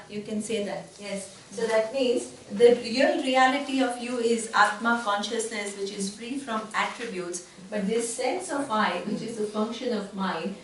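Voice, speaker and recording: A woman's voice: a little high, slight background noise. A woman teaching a class, explaining patiently and often asking rhetorical questions to check understanding. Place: retreat seminar room. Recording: echoey room, distant mic.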